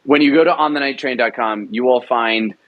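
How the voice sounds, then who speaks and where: A man's voice: medium pitch, brisk, narrow, tinny audio. A young man, a writer and director, talking about his audio drama production in an enthusiastic, rambling way with many fillers. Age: young adult. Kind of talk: casual interview talk.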